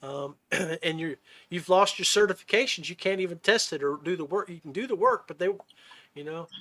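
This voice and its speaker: Male podcast host, high-pitched, bright forceful voice, casual, folksy, buddy-like. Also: fairly quick. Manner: folksy, buddy-like, says brother and man. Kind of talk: casual chat.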